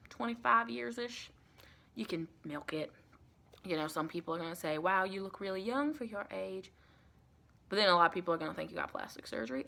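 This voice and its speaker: Friendly female vampire mentor, acted by a woman, ASMR roleplay. Bright-toned, soft-spoken, folksy, colloquial and reassuring. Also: mid-range voice, gravelly. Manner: chatty, reassuring, colloquial, rambling. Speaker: ASMR performer.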